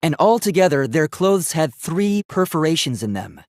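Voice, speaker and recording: A man's voice: a little high, sing-song, moderate pace, a little mellow, no room sound, studio quality. A man voicing a polite, earnest, logical young male robot in a video game, speaking formally and precisely. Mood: insistent, logical. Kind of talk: English video game voice acting.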